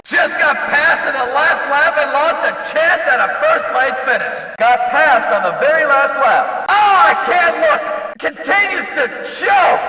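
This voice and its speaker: An excitable male race announcer for a racing video game, pitched extremely high and piercingly bright. He belts out dramatic, emphatic calls that ring out with heavy echo. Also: muffled recording, heavily acted. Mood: dismayed, mocking, then exasperated disbelief. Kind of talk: hyped race commentary. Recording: echoey hall, distant from the mic.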